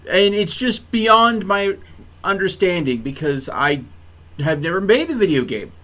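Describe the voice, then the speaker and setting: A man's voice: high-pitched, expressive, a little slow, bright, forceful tone. A man hosting a game stream in casual banter, rambling in a mock-serious way and stammering over repeated words. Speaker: live streamer hosting a gaming session.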